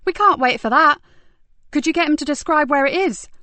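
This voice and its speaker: A woman reading a forceful female detective inspector in an audiobook, speaking loudly and urgently. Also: on the high side, fairly quick, dry recording, close to an everyday voice. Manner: sharp and urgent.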